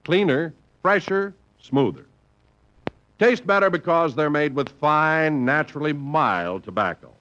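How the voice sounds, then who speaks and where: A man's voice: on the high side, animated, unhurried, fairly bright tone. A male radio announcer reading a commercial with a hearty salesman delivery, 1950s style. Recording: muffled old radio recording.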